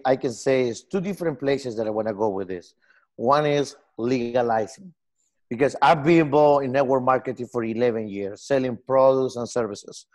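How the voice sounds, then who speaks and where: A man in his forties from Peru, speaking English with a Peruvian Spanish accent, earnest and halting in a casual video-call interview. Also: medium pitch. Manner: earnest, gestures a lot, halting non-native phrasing. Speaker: software company founder. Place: home room with lamp and armchair.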